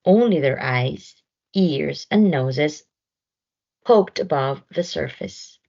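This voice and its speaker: A woman reading a children's story aloud in an expressive narration style, on a slightly dull recording. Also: low voice, takes it slowly, vocal fry. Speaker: storybook reader.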